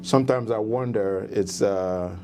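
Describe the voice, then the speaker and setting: Measured Nigerian man, hesitant. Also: fairly low pitch, noisy background. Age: middle-aged. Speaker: guest candidate. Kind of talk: interview answers.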